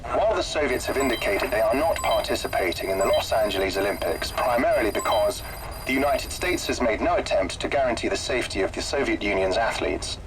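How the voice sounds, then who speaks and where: An actor playing a formal radio newsreader in a video game, reading in a measured way. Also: male voice, lowish voice, lively intonation, very noisy. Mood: formal, neutral.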